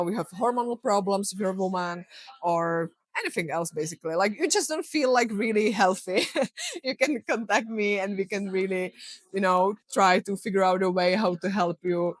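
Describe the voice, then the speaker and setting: A woman's voice: medium pitch, no room sound. Young Czech-accented woman, podcast guest coach, earnest and encouraging, dry close sound. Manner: earnest, encouraging, many "you know" fillers. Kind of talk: casual podcast interview.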